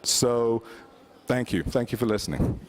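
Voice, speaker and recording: A man's voice: medium pitch, measured pace, noisy background. Male conference speaker, rambling, heavy on fillers and restarts. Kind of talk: presentation talk. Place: conference hall.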